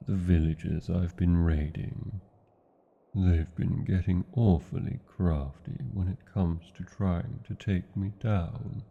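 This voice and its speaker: A British man playing a tender, brooding dragon lover in a romantic fantasy ASMR roleplay, speaking formally with old-fashioned endearments in a gravelly, husky voice. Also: low voice, full character voice. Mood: worried, confiding. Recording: muffled, narrow phone-like sound.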